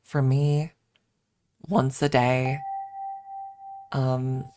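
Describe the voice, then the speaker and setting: A woman's voice: extremely low pitch, flat delivery, extremely slow, warm tone, no room sound. A woman streamer chatting casually on an ASMR stream, rambling and candid as she explains things, close to the microphone. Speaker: livestream host and ASMR streamer.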